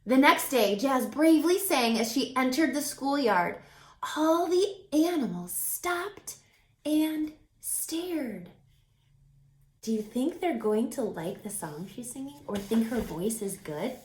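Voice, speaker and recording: A woman reads aloud for young children in a fairly high voice. Her delivery is animated and emphatic, with drawn-out phrases aimed straight at the listeners. The room echoes noticeably. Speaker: storyteller, children's book reader.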